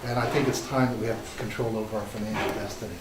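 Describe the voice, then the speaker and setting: A soft-spoken man making an assertive opening statement as a political candidate, listing his positions. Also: on the low side, steady tone, fast talker. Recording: roomy hall, distant mic, noisy.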